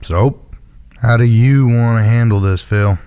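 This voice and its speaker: An actor playing a rough, plain-spoken outlaw brother in a western audio drama, blunt and folksy. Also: male voice, medium pitch, measured pace, dark tone, close to an everyday voice. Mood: placating.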